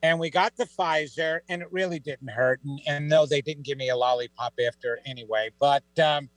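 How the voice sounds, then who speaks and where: A middle-aged man with a New York accent, a psychic guest on a talk show, telling stories in a lively, joking way. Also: on the high side, brisk, no echo, poor audio. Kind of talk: casual radio chat.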